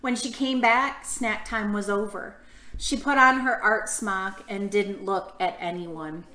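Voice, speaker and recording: Slow-speaking, bright-voiced woman reading to children. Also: mid-range voice, fairly even intonation. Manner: encouraging, asking the listeners questions. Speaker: children's storytime reader. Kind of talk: read-aloud storytime for young children. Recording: roomy, echoing room sound.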